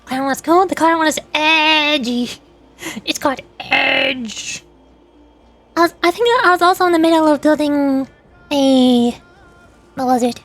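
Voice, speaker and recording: A woman's voice: high voice, measured pace, long pauses, fairly bright tone, faint music behind. A young woman streamer chatting casually with her viewers, rambling as she shows old screenshots. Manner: chatty, rambling, reads chat aloud.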